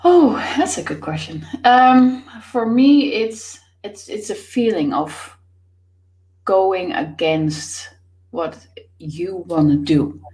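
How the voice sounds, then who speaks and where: A woman's voice: mid-range voice, expressive, slow pace, a little mellow, low-quality recording. A woman in her thirties from the Netherlands with a Dutch accent, answering interview questions in a thoughtful way with many fillers. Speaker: author and business coach, guest.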